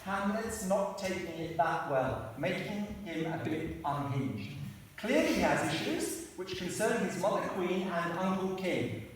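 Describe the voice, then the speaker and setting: An actor playing the exasperated, pedantic director-narrator of a hapless amateur Shakespeare production in a comic stage radio play. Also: male voice, high-pitched, big pitch swings, a little slow. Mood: weary explaining. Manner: pedantic, long-suffering, bossy. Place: theatre hall, live performance. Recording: very echoey hall, distant mic, hiss.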